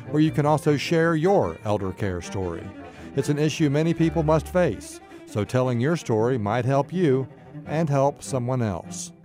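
A man hosting public radio, reading scripted narration in a measured, informative way. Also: mid-range voice, a little mellow.

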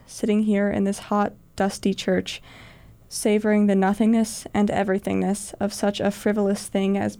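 Young woman, late teens, for a poetry reading: even intonation, noticeable vocal fry. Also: mid-range voice. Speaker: student writer. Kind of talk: reading own literary piece.

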